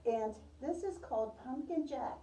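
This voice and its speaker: A woman teacher reading a picture book aloud to young children, pausing to ask questions and repeating their answers. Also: sits high, animated, dark tone, slight background noise. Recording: roomy, distant microphone, muffled top end.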